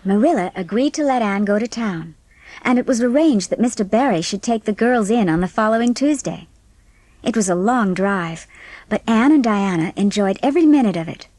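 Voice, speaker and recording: A woman with a husky voice narrating a storybook in a scripted audiobook style. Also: medium pitch, a little mellow, no echo.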